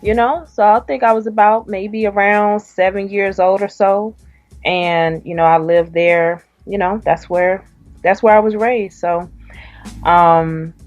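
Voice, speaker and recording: A woman's voice: medium pitch, fairly even intonation, unhurried, no echo, highs cut off, soft background music. A young woman from the South, an author and poet, answering interview questions with a hesitant manner and many fillers. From Southern US (Alabama).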